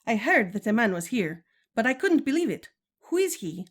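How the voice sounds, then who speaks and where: A woman's voice: a little high, very animated, moderate pace. A woman playing a regal, stern but caring Amazon queen and mother in a 1940s-style radio serial, formal and commanding. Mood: commanding, measured.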